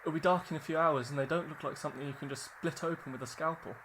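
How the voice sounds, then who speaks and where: A deferential, wary young-ranking male scientist played by an actor in a radio play. He talks fast in a slightly high voice, with clear noisy ambience around him. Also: steady tone, natural voice. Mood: doubtful, polite. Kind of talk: radio drama acting.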